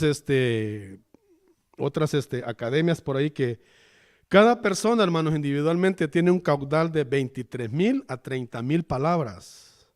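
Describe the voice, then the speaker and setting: A man from El Salvador with a Salvadoran Spanish accent, preaching a chatty sermon in a church and often addressing the listeners as brothers. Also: a little high. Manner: chatty, keeps calling the listeners "hermano". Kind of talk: conversational sermon.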